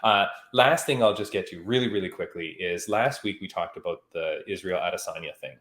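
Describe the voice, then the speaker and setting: A man from Canada hosting a podcast, his voice sitting a little low. He talks in an easygoing, rambling way, full of "like" and "I mean". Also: brisk. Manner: relaxed, chatty, frequent "like" and "I mean". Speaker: podcast host. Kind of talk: casual podcast chat.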